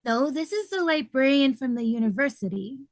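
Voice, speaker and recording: A woman's voice: sits high, animated, normal speed, dry recording, clean studio sound. A woman playing an anxious, timid, earnest academic in an amateur comedy table read.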